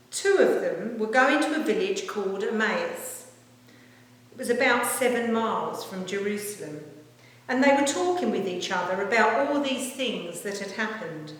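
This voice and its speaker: A woman minister reading a Gospel passage aloud in a measured, formal, expressive storytelling manner. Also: a little high. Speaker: church minister reading scripture. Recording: very echoey church-like hall, long reverb.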